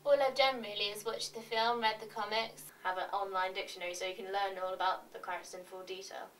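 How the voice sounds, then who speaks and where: A woman reading scripted confessions in an awkward, deadpan way. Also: mid-range voice, fast talker. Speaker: reader of fans' confessions. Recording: distant mic, roomy cellar, faint noise.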